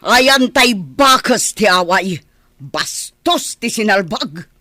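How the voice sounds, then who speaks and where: A woman's voice: medium pitch, animated, slow pace, pushed and bright, no room sound, studio quality. An actress playing an indignant, scolding older village mother-in-law in a radio drama. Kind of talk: radio drama acting in Ilocano.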